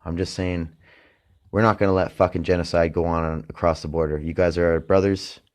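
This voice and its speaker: A Canadian man hosting a casual late-night solo podcast, rambling and profane, trailing off into mumbles and then bursting into loud emphasis. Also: sits low, level tone, a little fast. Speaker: podcast host.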